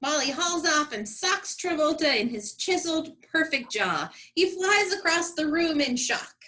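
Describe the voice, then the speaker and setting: A woman's voice: high voice, animated, a little slow, pushed and bright. A woman narrating a comedic scripted radio play with sassy, dramatic delivery.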